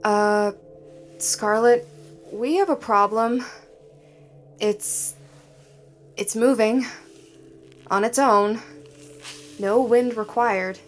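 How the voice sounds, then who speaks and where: Tentative young-sounding explorer woman, acted, highish voice, slow pace, curious, naturalistic audio drama. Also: faint music behind. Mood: wondering, uneasy. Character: curious, hesitant woman exploring a strange quarantined place.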